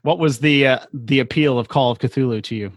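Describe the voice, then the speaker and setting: A man's voice: medium pitch, brisk, dry recording. A man speaking as a podcast host, introducing and interviewing a guest.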